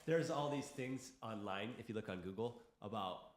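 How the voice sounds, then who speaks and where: A man's voice: lowish voice, normal speed, a crowd in the background. A man chatting casually on a podcast, rambling and opinionated, laughing at himself as he goes. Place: room in Taiwan. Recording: roomy echo.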